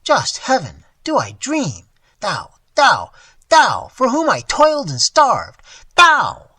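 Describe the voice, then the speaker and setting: Frail old guy crying out, huge swings up and down, reader doing the voice, husky. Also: huge pitch swings, unhurried, sharp tone, no room sound, heavily acted. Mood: anguished shrieks. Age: over 60. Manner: cries out in high, shaking outbursts. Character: frail old male miser, anguished and pleading.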